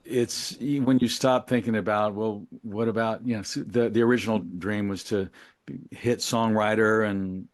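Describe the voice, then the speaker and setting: A middle-aged man talking reflectively as an interview guest, in a halting, musing, colloquial way about his creative work. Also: on the low side, steady tone, no echo. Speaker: interview guest, musician and memoir author.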